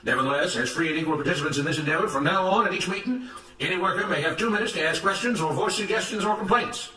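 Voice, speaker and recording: Man putting on a loud, high-pitched oratorical plantation boss. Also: quick pace, echoey room, recorded from a distance, rough recording. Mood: indignant, lecturing. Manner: declamatory, oratorical, emphatic. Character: smooth-talking, self-righteous plantation overseer making rousing speeches. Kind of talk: dramatised storybook narration.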